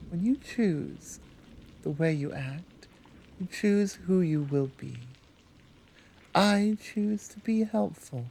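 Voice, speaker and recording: A woman playing a gentle, self-assured Amazon rescuer in an intimate ASMR roleplay, speaking softly with deliberate pauses. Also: sits low, a little hiss or hum, exaggerated voice, poor audio. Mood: earnest, firm, then gentle, reassuring. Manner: soft, unhurried delivery with deliberate pauses. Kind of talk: intimate ASMR roleplay, one-sided conversation.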